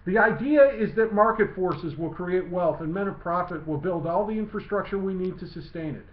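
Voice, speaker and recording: A man reading his own literary essay aloud in a measured, declamatory way. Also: high-pitched. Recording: muffled lo-fi, roomy echo.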